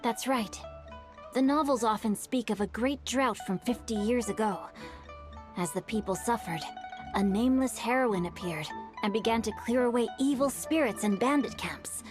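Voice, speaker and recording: A woman's voice: on the high side, over music, close to an everyday voice. A woman playing a polite bookish young swordsman. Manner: courteous, measured storytelling. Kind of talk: video game dialogue.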